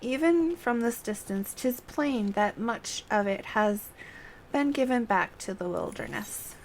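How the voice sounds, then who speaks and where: With slight noise behind, a woman reads as a composed scholar lady from a fantasy game. She speaks slowly and formally in a fairly high voice, with old-fashioned measured phrasing. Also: lively intonation, poor audio.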